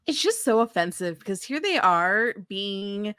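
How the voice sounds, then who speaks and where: A woman with a medium-pitched voice, captured dry with no room sound. She chats casually as a podcast co-host and sounds wry and playfully indignant. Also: big pitch swings, unhurried, pushed and bright, smooth, no room sound. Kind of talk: casual chat.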